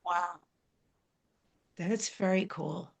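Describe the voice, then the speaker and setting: A woman hosting an interview in a conversational, personal way, leaning on words with expressive emphasis. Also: fairly low pitch, very slow, vocal fry. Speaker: interview host.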